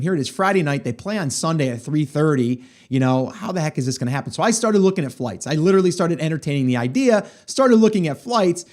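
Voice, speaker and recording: A hoarse middle-aged man hosts his own podcast and talks to listeners in a loose, chatty monologue. His voice sits a little higher than most men's, and he rambles along with plenty of fillers as he tells a story. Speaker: podcast host. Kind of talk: casual solo podcast monologue.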